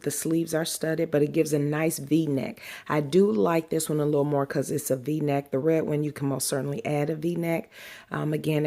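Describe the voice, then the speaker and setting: Low-voiced woman, nasal with a cold. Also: gravelly, mellow. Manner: chatty, unhurried, drawn-out emphasis, many fillers. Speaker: sewing vlogger. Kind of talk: casual chat to camera.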